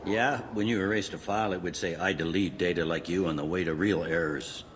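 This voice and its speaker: An actor playing a matter-of-fact, world-weary police officer in a video game, briefing in a dry, informal, clipped way. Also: male voice, deep voice, fairly quick, noisy background, lightly acted.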